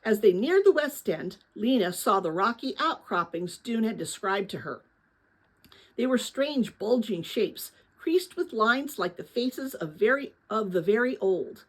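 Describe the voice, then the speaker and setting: A woman reading a children's novel aloud as dramatic, expressive story narration. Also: medium pitch, a little slow, low-quality recording. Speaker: audiobook-style reader of a children's novel.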